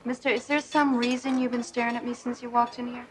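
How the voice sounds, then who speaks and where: An actress playing a brusque, guarded waitress in a naturalistic television drama. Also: female voice, on the high side, flat delivery, quick pace, lightly acted. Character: guarded young waitress, wary of men's advances. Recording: TV soundtrack with crowd noise behind.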